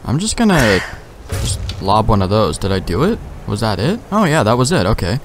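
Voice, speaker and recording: A man's voice: fairly low pitch, sing-song, normal speed, background noise. A man giving casual, sweary let's play commentary, thinking aloud in a laid-back way. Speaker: gamer, let's play host.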